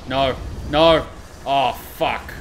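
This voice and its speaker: A man's voice: medium pitch, extremely slow, background noise. A man giving casual gameplay commentary, talking to himself and swearing freely as he goes. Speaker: gaming streamer.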